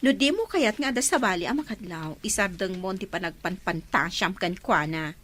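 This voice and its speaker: A woman's voice: lowish voice, expressive, normal speed, a bit bright. A woman playing a blunt, nosy, scolding older sister figure in a radio-style drama.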